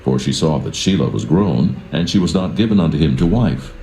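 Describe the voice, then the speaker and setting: A man narrating scripture in a solemn, measured and formal scripted style, with a reverent manner. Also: a bass voice, lively intonation, warm tone, slight background noise. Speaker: Bible narrator. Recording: roomy echo with music behind.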